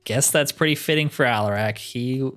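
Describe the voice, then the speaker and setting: A man's voice: medium pitch, normal speed, a bit bright. A man giving casual gameplay commentary in a laid-back way, musing to himself as he plays. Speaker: gaming streamer.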